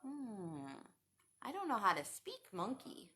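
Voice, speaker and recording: A woman's voice: medium pitch, wildly swooping pitch, slow pace, sharp tone. A woman reading a picture book aloud to young children, expressively and with questions to the listeners. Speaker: children's storytime reader.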